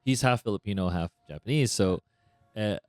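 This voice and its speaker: A man's voice: lowish voice, normal speed, darkish tone, no echo. A man co-hosting a podcast in casual chat, making dry teasing quips and laughing along. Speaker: podcast co-host.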